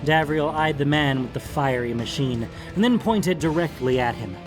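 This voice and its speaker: A man's voice: fairly high pitch, animated, normal speed, music in the background. A man reading scripted, dramatic fantasy narration as an audiobook narrator.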